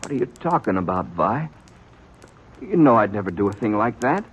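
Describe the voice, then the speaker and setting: An actor with an American accent playing a stubborn, bewildered rancher in a 1950s radio western, wounded and plain-spoken. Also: male voice, fairly low pitch, very animated, normal speed. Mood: incredulous. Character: stubborn rancher bewildered by his sister's betrayal. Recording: muffled, noisy old radio recording.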